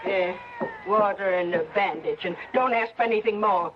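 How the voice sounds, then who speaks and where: An actress playing a crotchety, sharp-tongued old countrywoman in an old radio drama, scornful and folksy. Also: mid-range voice, moderate pace, lightly acted. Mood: mocking. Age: older. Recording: muffled, noisy old radio recording.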